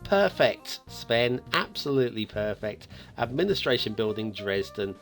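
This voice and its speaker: A man's voice: fairly low pitch, sing-song, a little slow, gravelly, a bit bright, faint music behind. A man hosting a game map review in a chatty, playfully teasing way, commenting casually and off the cuff.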